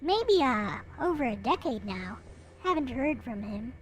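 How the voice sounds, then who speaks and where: A woman hosting a live stream in casual chat, rambling along with frequent hesitant pauses. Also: high-pitched, very animated, a little hiss or hum.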